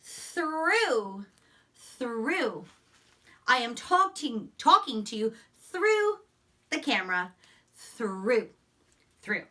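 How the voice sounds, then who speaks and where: A woman teacher giving a phonics lesson and read-aloud to young children, encouraging, enunciating deliberately and repeating words, picked up by a distant microphone. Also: fairly high pitch, sing-song, pushed and bright. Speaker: elementary school teacher.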